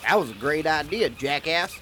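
Snippy outlaw sidekick voiced by a guy, highish bright voice, bickering, swings around a lot. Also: big pitch swings, normal speed, a little hiss or hum. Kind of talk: amateur radio western acting.

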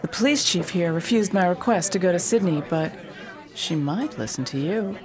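A woman's voice: lowish voice, lively intonation, moderate pace, a crowd in the background, lightly acted. An actress playing a brash young woman who mocks and defies a preacher in a radio drama. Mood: coaxing. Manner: sarcastic, defiant.

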